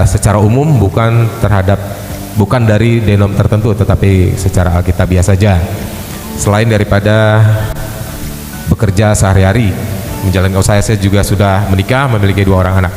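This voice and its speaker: Low-voiced guy, hesitant, lots of ehs, echoey hall. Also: darkish tone. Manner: hesitant, many filler sounds. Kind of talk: self-introduction at a live debate. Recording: echoey hall with long reverb.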